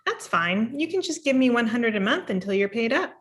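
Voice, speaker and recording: A woman's voice: a little high, big pitch swings, a little fast, fairly bright tone. A woman playing a fed-up, blunt ex-girlfriend in a screenplay table read. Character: Emily, fed-up, blunt ex-girlfriend demanding rent money.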